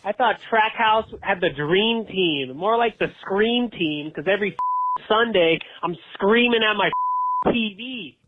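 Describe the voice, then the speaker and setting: Slow mocking woman on tinny phone. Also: medium pitch, expressive. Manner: mocking, exasperated. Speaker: fan leaving a voicemail. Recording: tinny, slightly noisy phone voicemail.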